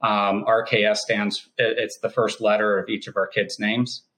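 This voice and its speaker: A man, a company co-founder, explaining his business in a calm, measured way during an interview. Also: lowish voice, poor audio. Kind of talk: casual interview talk.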